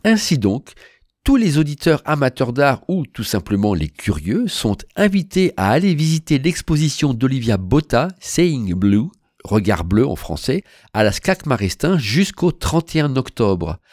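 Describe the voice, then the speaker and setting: A radio host asks his questions formally and at a measured pace, his pitch moving in a lively way around a middle register. The recording is close and dry, with no room sound. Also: dry recording. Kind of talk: radio interview.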